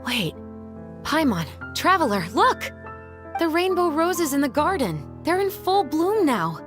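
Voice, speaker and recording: A woman playing a resolute, composed young patrol officer in a video game, speaking in an earnest, measured, formal way. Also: sits high, big pitch swings. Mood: surprised. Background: background music.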